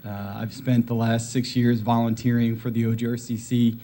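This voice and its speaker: A man in his forties speaks at a town meeting as a parent and volunteer. His voice is dark and mellow, and he talks plainly and steadily with a few filler uhs. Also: medium pitch, little pitch movement, normal speed. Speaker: public commenter, volunteer coach. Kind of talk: public comment speech.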